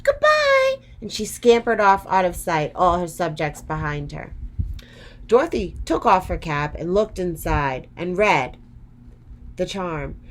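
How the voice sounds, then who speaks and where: A woman's voice: lowish voice, unhurried, slight background noise. A woman storyteller narrating a children's storybook expressively.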